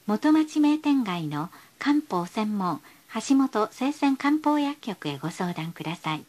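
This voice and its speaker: A woman's voice: medium pitch, sing-song, slow, a little mellow. A woman reading scripted bus stop announcements in a polite, formal, carefully enunciated way.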